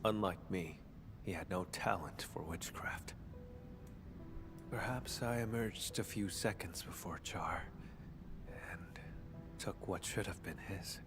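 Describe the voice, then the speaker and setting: A troubled, protective witch in a video game drama. His voice is deep, breathy and halting, weighed down with weariness, and loud music plays over it. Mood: weary confession.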